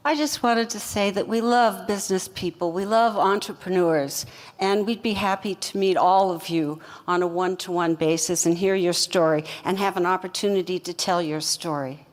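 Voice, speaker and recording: A woman, a company co-founder, giving earnest, steady advice at a conference fireside chat. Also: fairly low pitch, moderate pace. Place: conference stage. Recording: long hall reverb.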